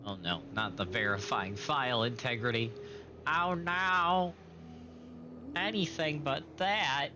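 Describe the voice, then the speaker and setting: A young man streaming a game in casual chat, recovering from illness and slightly raspy, clearing his throat now and then. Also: mid-range voice, background noise. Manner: slow, chatty, occasional coughs and throat clearing.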